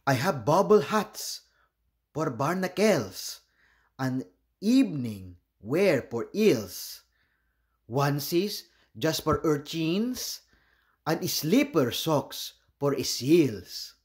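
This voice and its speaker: A proud, courteous seahorse shopkeeper from a picture book, voiced by a man. The sales patter is slow and showy, with very animated pitch. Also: exaggerated voice. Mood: welcoming, proud.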